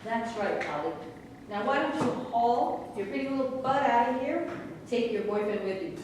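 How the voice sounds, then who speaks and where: A woman's voice: on the high side, animated, moderate pace, lightly acted. A woman reading the part of a taunting barroom troublemaker in a staged play. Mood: snide, taunting. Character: snide, taunting troublemaker at a bar. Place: echoey room at a live staged reading. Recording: echoey room, far mic, faint noise.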